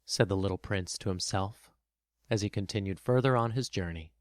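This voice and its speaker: A man's voice: deep voice, normal speed, no echo, clean studio sound. A man hosting a storytelling podcast, speaking in an earnest, reflective manner. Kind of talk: storybook narration and personal host talk. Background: music under the voice.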